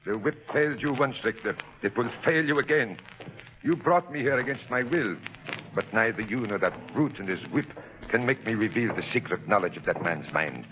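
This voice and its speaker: Male actor as principled captive psychiatrist, low, grave, formal, muffled vintage radio. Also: lively intonation, moderate pace, close to an everyday voice. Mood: defiant. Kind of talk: 1930s radio acting. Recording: dull old 1930s radio recording.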